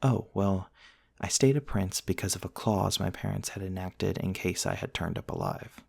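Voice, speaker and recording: Male actor as ancient vampire prince, deep pitch, soft-spoken, gentle, formal phrasing, close dry sound, ASMR roleplay storytelling. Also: gravelly, husky, no room sound, exaggerated voice. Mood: wry, matter-of-fact. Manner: soft-spoken, formal wording with hesitant pauses. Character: gentle centuries-old male vampire prince, courteous and wry. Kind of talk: intimate ASMR roleplay, storytelling to one listener.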